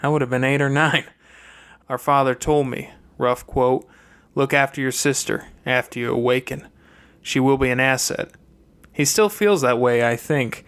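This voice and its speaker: A reader voicing an earnest, reserved older brother from a wealthy family in an audiobook, measured and understated. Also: mid-range voice, fairly bright tone, close to an everyday voice. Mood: sincere, reassuring.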